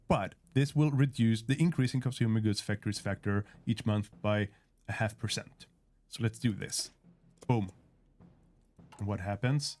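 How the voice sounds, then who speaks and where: A man's voice: lowish voice, a little mellow. A man for whom English is a second language, casually walking through a strategy game on a developer livestream with frequent fillers and restarts. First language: not English. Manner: hesitant, many fillers and restarts. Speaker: game developer demonstrating gameplay. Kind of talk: casual livestream gameplay walkthrough.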